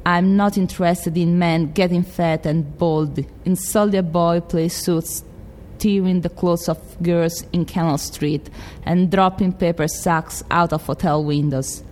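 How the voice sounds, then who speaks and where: A woman's voice: on the low side, steady tone, a little slow, darkish tone, big-room sound, slight background noise, close to an everyday voice. A woman with an Italian accent reading as a proud, fiercely devoted middle-aged widow in an impassioned monologue. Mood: defiant, proud.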